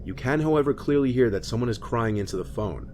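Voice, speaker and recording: A man reading a scripted scary-story narration in a measured, matter-of-fact way. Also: medium pitch, lively intonation, darkish tone, a little hiss or hum. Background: music bed.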